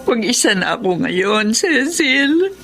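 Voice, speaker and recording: High, tearful middle-aged Filipina boss. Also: female voice, very animated, slow, a bit bright, soft background music, exaggerated voice. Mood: grieving. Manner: tearful, breathy, melodramatic. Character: grieving older sister and kindly female boss, tearful. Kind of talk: Filipino radio drama acting.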